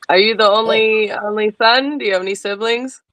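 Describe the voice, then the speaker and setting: A man's voice: high-pitched, steady tone, moderate pace, pushed and bright, no echo. A man interviewing casually, with joking asides and loose, slangy questions. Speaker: podcast interviewer.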